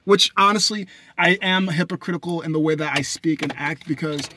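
Young guy venting into his phone in his car, bright forceful voice, dry close sound, swears a lot, loud then mumbly. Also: a little fast, no echo. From Haiti. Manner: blunt, profane, swinging between loud outbursts and low mutters. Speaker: vlogger. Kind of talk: rambling personal monologue. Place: inside a parked car at night. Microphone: phone camera at arm's length.

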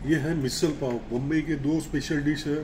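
Chatty male food vlogger, slightly high voice. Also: fairly even intonation, normal speed, rough recording. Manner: chatty, savoring food with little exclamations. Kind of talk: casual food review vlog. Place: restaurant breakfast buffet. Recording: restaurant background noise. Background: restaurant ambience.

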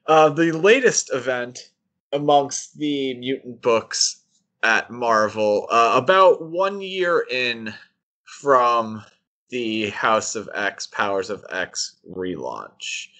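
A man with a mid-pitched voice talks casually as a podcast co-host. His delivery is halting and conversational, with a self-deprecating streak. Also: expressive, pushed and bright. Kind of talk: casual comic-book discussion.

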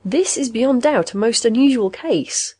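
A robot therapist voiced by a woman reader for an audio story, earnest and confident. It lectures in formal clinical language, soothing yet pedantic, with a lively rise and fall. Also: mid-range voice, brisk, natural voice. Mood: hesitant, confused.

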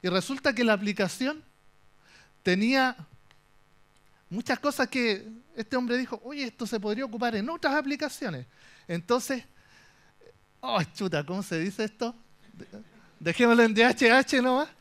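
A young Chilean man with a fairly high voice gives a conference talk from the stage. He jokes his way through the story, lively and playful, with a Chilean accent throughout. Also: normal speed, long pauses. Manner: animated, joking storyteller. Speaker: conference speaker. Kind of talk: humorous tech talk.

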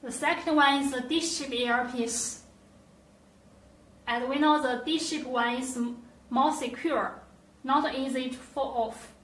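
A woman with a high voice gives a scripted product demonstration. She speaks slowly and carefully, with halting non-native phrasing. The sound is echoey and set back from the microphone. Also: fairly even intonation, fairly bright tone. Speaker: product presenter. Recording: roomy echo, distant mic, faint noise.

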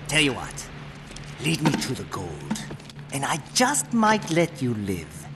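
A man's voice: mid-range voice, huge pitch swings. An actor playing a smug, menacing pirate gang boss in a video game, taunting and drawing out his words. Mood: smug menace. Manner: taunting, drawn-out. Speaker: villain of an adventure game. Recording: echoing stone chamber, music behind. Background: music.

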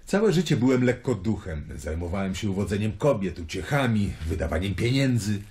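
A man's voice: lowish voice, sing-song, moderate pace, darkish tone. An actor playing a world-weary, searching Roman nobleman in a radio play. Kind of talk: dramatic radio play acting.

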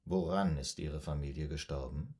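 An actor playing a composed, probing male psychoanalyst in a radio drama, formal, measured and clinical in manner. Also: extremely low pitch, darkish tone. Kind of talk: German radio drama acting.

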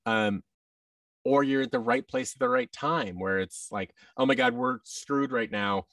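A man's voice: mid-range voice, animated, unhurried, creaky, fairly bright tone, dry recording, studio quality, recorded from a distance. An American man in his thirties chatting casually as an interview guest, often restarting and acting out bits of dialogue. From United States. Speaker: podcast guest, voice actor. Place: large indoor room with tables, on a video call. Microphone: boom-arm microphone with headset.

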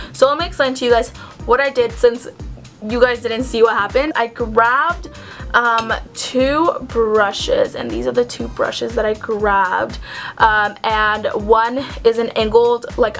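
Chatty young woman with a high voice. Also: a bit bright. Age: young adult. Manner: chatty, many ums and likes, earnest advice. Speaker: beauty vlogger. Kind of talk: casual makeup tutorial chat. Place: small home room with shelves, indoors. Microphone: camera at close range.

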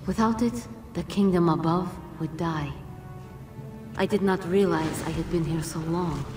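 In a roomy, distant echo with music underneath, an actress plays an ancient djinn woman, regal and grave. Her voice sits on the low side, and she speaks in measured, formal phrases as in a game cutscene. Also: close to an everyday voice. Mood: wistful, grave. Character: solemn, regal, ancient female djinn guardian. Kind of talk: dramatic video game cutscene acting.

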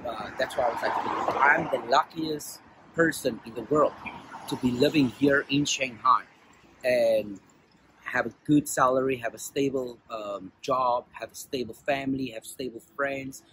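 A man's voice: medium pitch, measured pace, fairly bright tone, rough recording. A man in his forties from South Africa, talking earnestly in a street interview, with many fillers and restarts, recorded on a clip-on mic outdoors. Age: 40s. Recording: outdoor street with faint traffic noise.

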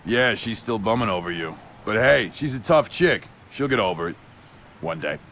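A man playing a gruff, bitter, hot-tempered older skater in a video game cutscene. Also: fairly low pitch, expressive, moderate pace, a bit bright, slight background noise. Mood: gruff, calmer.

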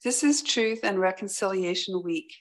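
A woman's voice: mid-range voice, normal speed. A woman reading scripted school district news aloud as a trustee at a meeting.

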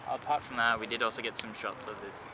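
A man on a film crew talks in a dry, deadpan, joking way, at a middle pitch. He is outdoors, with loud wind and noise around him. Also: fast talker.

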